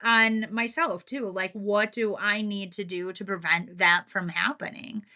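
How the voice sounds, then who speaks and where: Woman, podcast co-host, bright forward voice, casual reflective talk, agreeable, self-deprecating. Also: medium pitch, fairly even intonation, a little slow.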